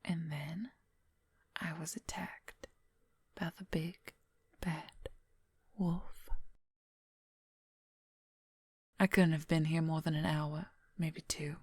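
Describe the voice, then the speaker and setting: Breathy, whispering female werewolf lover, acted by a woman. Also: deep voice, flat delivery, dry recording, heavily acted. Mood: hushed, ominous. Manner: slow, intimate, confiding. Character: possessive female werewolf, former lawyer, wry intimate lover. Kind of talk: whispered ASMR roleplay. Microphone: close microphone, dry.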